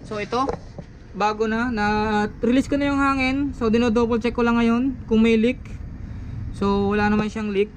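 A man's voice: very high-pitched, fairly even intonation, normal speed. A young man with a Filipino accent, a truck driver vlogging in casual chat and narration at a truck yard, hesitant and searching for words. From Philippines. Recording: lo-fi with loud outdoor noise.

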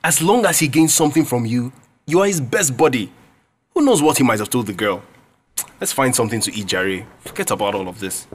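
A man's voice: medium pitch, lively intonation, a little fast, husky. A man playing a blunt, loyal young Nigerian student friend in an audio drama. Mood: grumbling, resigned. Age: young adult.

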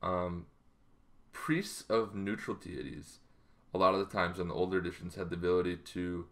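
A man in his thirties talking casually to camera in an explanatory way, halting and improvised, in a small bedroom. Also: low-pitched, steady tone, a bit bright. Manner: halting, loosely improvised delivery. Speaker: tabletop roleplaying game vlogger.

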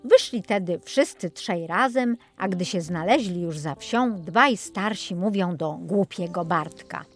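A woman narrating a children's fairy tale as an expressive audiobook storyteller. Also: lowish voice, measured pace, soft background music.

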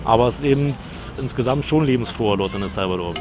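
A man's voice: mid-range voice, quick pace, music in the background. A man in his thirties with a Berlin accent, speaking thoughtfully in a documentary interview, held to a handheld microphone outdoors on a bench. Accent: Berlin German. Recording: outdoor street noise. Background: city ambience.

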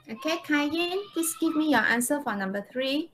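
A woman's voice: high-pitched, expressive, normal speed, fairly bright tone, slight background noise. A woman teaching an English lesson on a video call, checking understanding with short questions. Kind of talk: interactive online lesson.

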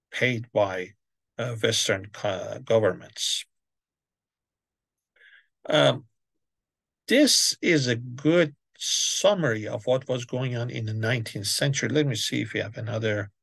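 A man's voice: mid-range voice, a little slow, gravelly, long pauses, a bit bright, no room sound. A man giving an online church history lecture, hesitant, with many fillers and restarts. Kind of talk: online lecture over slides. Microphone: webcam on a video call.

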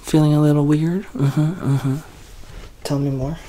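A soft-spoken young man in an ASMR roleplay as a quirky, deadpan doctor, hesitant and halting, sometimes drifting toward a whisper. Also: a little high, rough recording. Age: young adult. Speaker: ASMR performer playing a bumbling doctor. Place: small curtained room at home, indoors.

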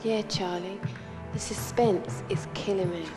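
An actress playing a cool, self-reproaching young thief in a video game, dry and downbeat, with a British accent. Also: female voice, medium pitch, fairly even intonation, measured pace, loud music behind the voice, natural voice. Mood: dry, wary. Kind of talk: video game cutscene acting. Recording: long echoing reverb.

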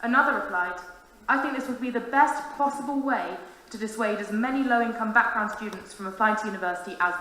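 A woman's voice: medium pitch, sharp tone. A woman in her twenties reading a prepared, formal, earnest speech to a council meeting as a student union president. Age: 20s. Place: large council meeting room. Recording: very echoey room, faint noise.

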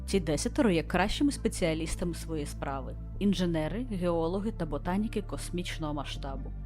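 A woman's voice: fairly low pitch, natural voice. Woman as composed female captain, formal mission log, visual novel narration, varied intonation, light background music. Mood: hopeful, formal. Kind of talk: scripted narration read aloud from a visual novel.

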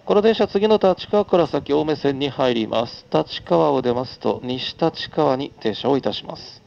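A man's voice: medium pitch, animated, moderate pace, creaky, a little mellow, lo-fi sound. A man making a live train conductor's announcement in a formal, practised, phrase-by-phrase delivery.

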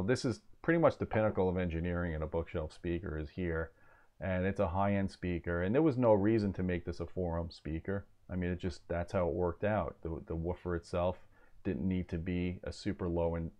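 A man's voice: deep voice, moderate pace, a little mellow. A man in his forties giving an informal technical explanation as a host, plain-spoken, opinionated and emphatic. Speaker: host of an audio gear review channel. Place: small home office, indoors.

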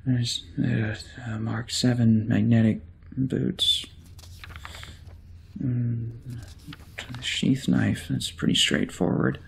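Guy playing a spaceship security officer doing his log, male, average pitch, rambly and wry, gets exasperated easy, audio drama. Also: little pitch movement, vocal fry, slight background noise, full character voice. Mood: distracted. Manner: halting, conversational, wry.

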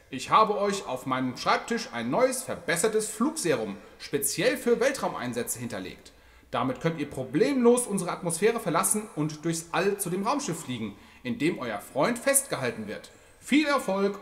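Male, raised pitch and lively intonation, actor as sensible, earnest podcaster in a sci-fi radio play. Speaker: podcast co-host. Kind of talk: amateur audio drama acting.

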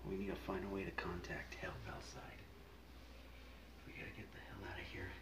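A man plays a battered, weary survivor in a horror ASMR roleplay. His voice is very low, breathy and half-whispered, with a gruff muttered delivery. It sounds distant from the mic in a roomy space, with loud noise behind it. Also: little pitch movement, moderate pace.

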